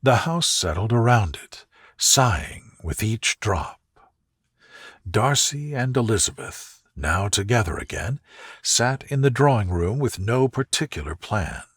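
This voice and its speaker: Man, soft husky voice, slow gentle pacing, expressive rise and fall, soothing sleep story narration. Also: fairly low pitch, dry recording, studio quality. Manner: gentle, unhurried, soothing, with soft phrase endings.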